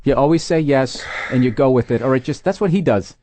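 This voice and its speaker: A man's voice: mid-range voice, a little fast, dark tone, dry recording. A middle-aged man co-hosting a comedy podcast, teasing his guests with sarcastic jokes and laughing often. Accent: Boston. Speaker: podcast co-host. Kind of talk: casual comedic banter.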